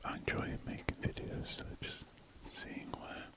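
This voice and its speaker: A speaker, chatting in a soft, rambling ASMR whisper while drawing. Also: measured pace. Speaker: ASMR drawing channel host.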